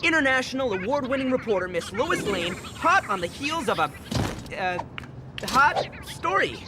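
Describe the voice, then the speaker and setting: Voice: high voice, big pitch swings, normal speed, background noise. An actor playing an eager, cheeky young male cub photographer in a video game.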